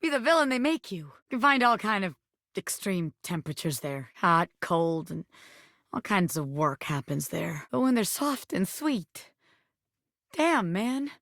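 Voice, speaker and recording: A woman voicing a brash video game pin, a wannabe gangster boss with a soft heart, talking in cocky, slangy tough talk. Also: mid-range voice, sing-song, a little slow, dry recording, clean studio sound. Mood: wistful. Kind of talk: animated video game character voice acting.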